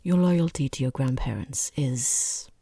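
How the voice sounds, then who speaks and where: A woman playing a rude, bored, condescending boss in a softly spoken, mostly whispered ASMR roleplay, close to the microphone, with snide, deliberate delivery. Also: rumbling low, dry recording, exaggerated voice. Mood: appalled, condescending. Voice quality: breathy, husky whisper.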